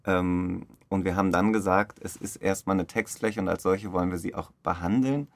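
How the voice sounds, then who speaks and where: A man with a deep voice and a gravelly creak talks as a director in an interview. He explains with enthusiasm, feeling his way through loose sentences. The sound is close and dry. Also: steady tone, moderate pace, no echo. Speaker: radio play director. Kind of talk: interview conversation.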